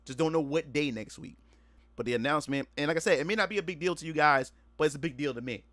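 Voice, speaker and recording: A man's voice: fairly high pitch, fast, a bit bright, dry recording. A man streaming live in a casual, rambling chat, often restarting and repeating words as he talks straight to his viewers. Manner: laid-back, addresses viewers directly.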